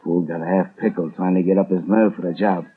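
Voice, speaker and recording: A man's voice: deep voice, fairly even intonation, fairly quick, slight background noise, natural voice. An actor with an American accent playing a crude, vengeful gangster hitman in a 1930s radio drama, sneering in slangy tough-guy talk. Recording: tinny 1930s radio broadcast.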